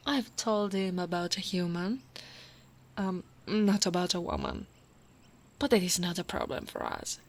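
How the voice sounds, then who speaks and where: Slow, tender orc woman guard, acted by a woman. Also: lowish voice, fairly bright tone, heavily acted. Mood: earnest, hopeful. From Italy. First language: Italian. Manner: slow, intimate, often dropping to a whisper. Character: tall female orc royal guard, tender, devoted suitor. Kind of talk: ASMR roleplay, spoken softly to the listener.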